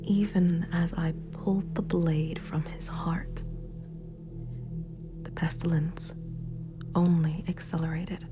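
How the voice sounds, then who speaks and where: A woman playing a remorseful, sympathetic villain ruler in a scripted ASMR roleplay, speaking in a hushed, breathy, formal and measured way. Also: on the low side, fairly even intonation. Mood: regretful. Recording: clear background music. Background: dark, spooky background music.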